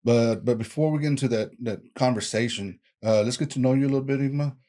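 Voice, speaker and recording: A man's voice: mid-range voice, fast, mellow. A man hosting a podcast, asking easygoing questions with short prompts and fillers. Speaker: podcast host. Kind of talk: interview questions.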